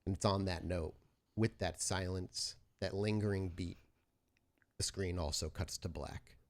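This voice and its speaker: A male game master with a very low voice narrates a tabletop roleplay scene. He paints it like a film, phrase by measured phrase, leaving deliberate pauses. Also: steady tone, creaky. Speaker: game master of an actual-play podcast.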